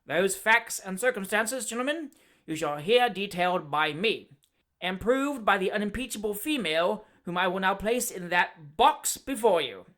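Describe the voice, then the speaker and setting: A pompous, blustering barrister, put on by a man reading aloud. He orates in a grand, booming manner and pitches his voice high. The delivery is theatrical throughout. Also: expressive, moderate pace, a bit bright. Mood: grandiloquent.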